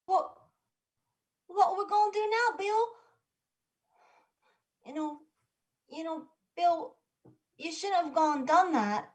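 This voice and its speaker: A man playing a frightened, loyal dog-like sidekick in a stage play reading, pleading and excitable. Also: very high voice, slow pace, long pauses, full character voice. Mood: anxious, pleading.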